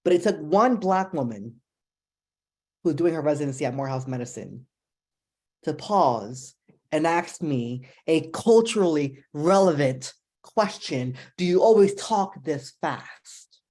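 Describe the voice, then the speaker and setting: A young woman with a New Jersey accent delivers an impassioned speech to a panel audience. She talks quickly and emphatically, leaning hard on her appeals. Her voice sits a little low for a woman. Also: animated, warm tone. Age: young adult. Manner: fast-talking, emphatic, pleading. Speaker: symposium panelist.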